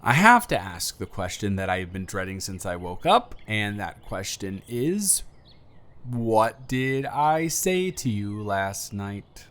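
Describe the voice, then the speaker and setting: A man performs a nervous young man in an intimate audio drama, opening up to a close friend. His delivery is emotional and rambling, halting at times and then emphatic. The pitch is very animated, moving widely from phrase to phrase. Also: exaggerated voice. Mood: anxious, joking. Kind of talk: intimate scripted audio roleplay.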